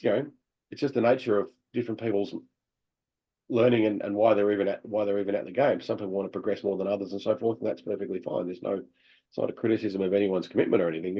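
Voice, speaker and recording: Husky coach reviewing game footage. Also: male voice, fairly low pitch, dull audio. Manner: animated, laughs often, many restarts. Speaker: hockey coach. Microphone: video call.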